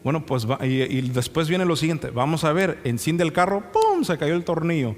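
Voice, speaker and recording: A young male preacher speaks in a somewhat high voice over background music, in a hall with long reverb. He tells anecdotes conversationally and keeps checking in with rhetorical questions. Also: sing-song, moderate pace. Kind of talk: sermon with anecdotes. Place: church sanctuary.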